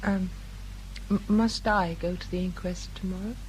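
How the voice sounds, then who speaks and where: A woman's voice: medium pitch, fairly even intonation, moderate pace, a little mellow, slight background noise, lightly acted, rough recording. A woman playing a troubled, brittle wife and mother in a radio crime drama. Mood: brittle, anxious.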